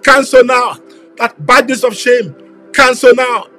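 A man preaching with a very high, bright voice, pouring out repeated declarations. He leads the prayer with fervent intensity and slips into speaking in tongues between phrases. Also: unhurried, soft background music. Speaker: pastor.

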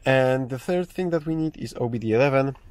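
A man's voice: medium pitch, fairly quick, a little mellow, clean tone, no echo. A man hosting a casual how-to tutorial from inside a parked car, explaining steadily with frequent fillers and restarts. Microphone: phone or camera held in hand, speaker off screen.

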